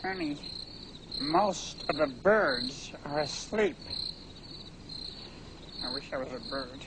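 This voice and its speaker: Grumpy, weary puppet camper. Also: male voice, very high voice, big pitch swings, a little slow, noisy background, full character voice. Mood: weary, annoyed. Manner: exasperated, plaintive. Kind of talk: puppet comedy sketch.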